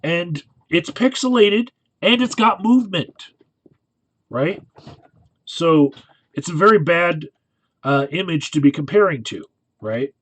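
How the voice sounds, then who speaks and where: A man's voice: fairly high pitch, lively intonation, takes it slowly, long pauses, rough recording. A man giving casual, analytical video commentary, talking conversationally and often checking in with the listener. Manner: conversational, frequently checks in with "right?".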